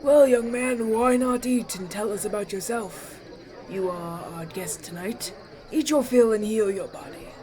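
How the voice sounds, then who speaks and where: Mann spielt gütigen Elfenältesten mit hoher Stimme. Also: male voice, sits high, warm tone. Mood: welcoming. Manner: ceremonial, measured, kindly. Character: gracious, benevolent elven elder presiding over a feast. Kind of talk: fantasy audio roleplay acting.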